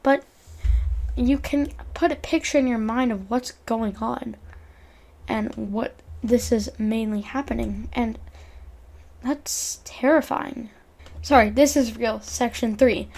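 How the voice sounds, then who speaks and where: A woman's voice: a little high, gravelly, darkish tone. A young woman narrating a video essay in an informal, earnest way, reading from a script and stumbling over words now and then.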